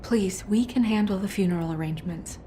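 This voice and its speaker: An actress playing a caring, composed woman who helps an investigation in a video game, gentle and diplomatic in manner. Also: medium pitch, a little fast, a little hiss or hum, natural voice. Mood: reassuring. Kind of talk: dramatic video game acting.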